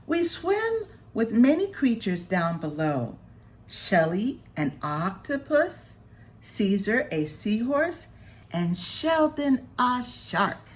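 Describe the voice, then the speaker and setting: Woman playing a friendly, slow-speaking lilting mermaid. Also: a little high, big pitch swings, voice pushed up. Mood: cheerful. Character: friendly mermaid, proud of her sea friends.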